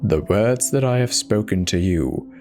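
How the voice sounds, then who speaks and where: A man acts out a big, ominous cult priest from a horror tale. He recites scripture solemnly and very slowly, in a fairly low voice with music behind it. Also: expressive, a little mellow. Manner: slow, solemn, whispering incantation. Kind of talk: horror story character reading.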